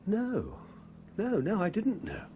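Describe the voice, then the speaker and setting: An actor playing a troubled, guilt-ridden investigator in a 1970s radio drama, with a brooding, hesitant manner. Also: male voice, high voice, huge pitch swings, slow, mellow, slight background noise, full character voice. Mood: shocked. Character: troubled, haunted male French private investigator, guilt-ridden and weary. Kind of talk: 1970s radio drama acting, with narrated diary passages.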